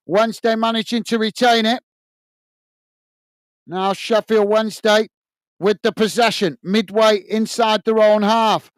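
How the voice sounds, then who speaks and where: A British man with a high, tiring, slightly hoarse voice calls the match in a steady running commentary for his fan channel. His voice is smooth and clear, recorded close and dry with no room sound. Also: no room sound. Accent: British English. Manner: steady running commentary, talks to viewers as guys. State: voice tired and going hoarse. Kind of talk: live watch-along play-by-play commentary.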